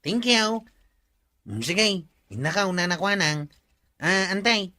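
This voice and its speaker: Woman doing simple ilocano village helper, comedy drama, slow lowish voice. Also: animated, bright, forceful tone, heavily acted.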